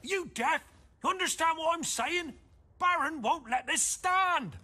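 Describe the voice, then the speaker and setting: A man playing an anxious village innkeeper in a fantasy video game, with a rough edge to his voice. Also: very high voice, animated, takes it slowly, sharp tone, full character voice. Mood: alarmed, angry. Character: anxious rustic male innkeeper in a war-torn village.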